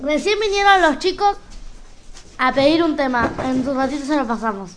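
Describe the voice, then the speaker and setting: High-voiced woman radio host. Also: moderate pace, a little hiss or hum. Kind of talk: casual radio announcing.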